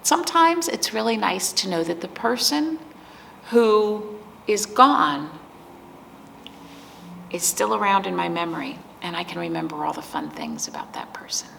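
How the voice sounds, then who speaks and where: A woman librarian hosting a virtual storytime, speaking gently to children, asking them simple questions and reading a picture book aloud. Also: fairly low pitch, big pitch swings, unhurried, creaky, slight background noise.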